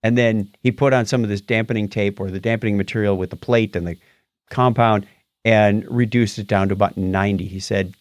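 A man's voice: lowish voice, steady tone, a little fast, dry recording, studio quality. A middle-aged man co-hosting a casual tech news show, talking with animation and stumbling over hard words. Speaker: podcast co-host.

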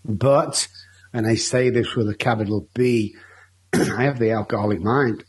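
A man's voice: on the low side, fairly even intonation, a little slow, darkish tone, breathy edge. A man with a Manchester accent, a guest telling his life story openly in a long, rambling interview answer. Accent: Mancunian English. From Manchester, England. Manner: candid, rambling storytelling with repeated restarts.

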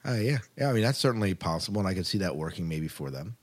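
A man's voice: deep voice, expressive, fast. A man co-hosting a podcast, giving casual commentary on science fiction news. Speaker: podcast co-host.

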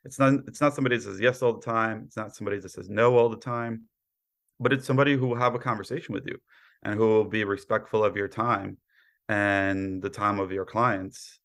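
American male podcast guest, thirties, low gravelly voice, modest conversational explainer. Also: fairly bright tone. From Brooklyn, New York, born in Poland. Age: 30s. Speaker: podcast guest, cyber insurance professional. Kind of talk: conversational interview answers.

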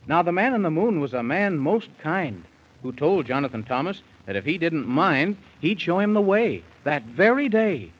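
Male storyteller for vintage children's radio, lively swooping delivery, highish pitch, folksy rhymes. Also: normal speed, breathy edge. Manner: theatrical, folksy, sing-song rhymes. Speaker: storyteller narrator. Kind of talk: rhyming 1930s radio bedtime storytelling. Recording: dull 1930s radio recording.

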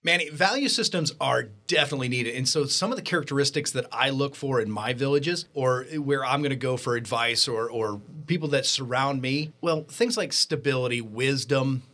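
A man hosting a financial radio show, talking in an energetic, emphatic way. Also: mid-range voice, moderate pace, hardly any pauses. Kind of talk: upbeat financial radio talk.

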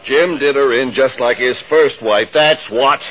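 A man's voice: mid-range voice, unhurried, fairly bright tone. An American man playing a combative townsman demanding justice in a 1950s radio western, blunt and insistent. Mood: impatient. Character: hotheaded, pushy townsman demanding frontier justice.

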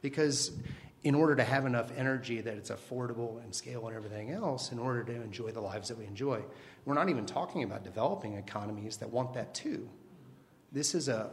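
A man on a panel discussion who answers in a measured, reflective way. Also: medium pitch, a little hiss or hum.

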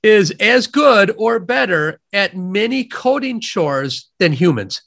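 Nasal Midwestern man, high bright voice. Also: big pitch swings, slow, gravelly. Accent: Midwestern American. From Wisconsin, USA. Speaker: guest economist. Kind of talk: podcast interview, explaining ideas.

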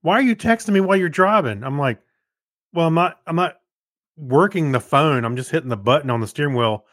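A man's voice: a little high, animated, a little fast, a bit bright, no echo, studio quality. A man co-hosting a podcast in casual conversation, sharing everyday anecdotes. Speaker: podcast co-host. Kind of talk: casual chat, personal anecdotes.

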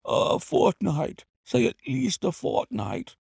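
A man voicing a desperate, proud middle-aged butler whose voice is hoarse with emotion, pleading not to be dismissed. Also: animated, takes it slowly, dry recording, studio quality, full character voice. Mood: despairing. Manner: pleading, high and strained. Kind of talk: audiobook character voice.

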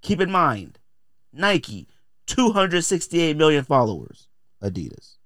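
A man's voice: a little high, sing-song, unhurried, a bit bright, no echo. A man hosting a podcast in a casual, opinionated rant, emphatic and exasperated, repeating phrases to drive points home. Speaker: podcast host.

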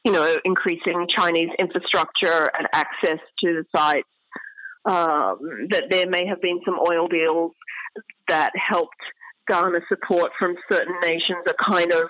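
A woman speaking as an academic expert in an interview, explaining in an articulate way with pauses mid-phrase. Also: lowish voice, steady tone, fairly bright tone, no room sound, poor audio.

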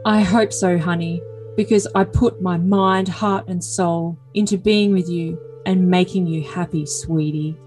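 A woman's voice: fairly low pitch, fairly even intonation, a little slow, darkish tone, soft background music, natural voice. A woman playing a needy, brooding, self-important young man in an overly dramatic comic reading of texts, earnest and melodramatic. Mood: tender, needy. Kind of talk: overly dramatic comedic reading of text messages.

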